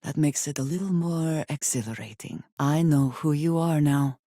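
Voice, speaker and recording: An actress playing a guarded, devout and loyal woman spacefarer in a video game, with measured, formal, precise phrasing. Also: low voice, dark tone, no room sound, clean studio sound. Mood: guarded, serious. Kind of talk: scripted game character dialogue.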